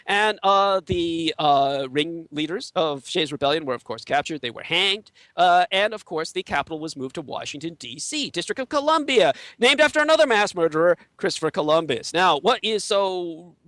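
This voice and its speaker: A man from Taiwan whose first language is Chinese, giving an intense, emphatic monologue as an interview guest, with his pitch swinging sharply and frequent filler hesitations. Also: animated, normal speed, hardly any pauses, a bit bright, no room sound. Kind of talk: conspiracy-theory monologue.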